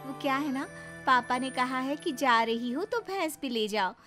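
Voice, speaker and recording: A woman's voice: high voice, animated, moderate pace, bright, forceful tone, faint music behind. A woman voicing a young newlywed daughter-in-law in a cartoon story, boastful, chatty and theatrical. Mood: cheerful. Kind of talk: cartoon moral-story acting.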